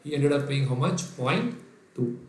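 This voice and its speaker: A man's voice: mid-range voice, expressive, unhurried, darkish tone, echoey room, slight background noise. A man from Kerala with an Indian English accent and Sindhi as his first language, giving a live online revision lecture. He dictates the working step by step and reads out viewers' names, answering them as he goes. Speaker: finance lecturer.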